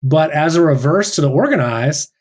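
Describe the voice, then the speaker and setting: Reflective man speaking haltingly. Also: on the high side, animated, darkish tone. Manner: thoughtful, halting, many "like"s and restarts. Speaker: teacher. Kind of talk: reflective personal sharing.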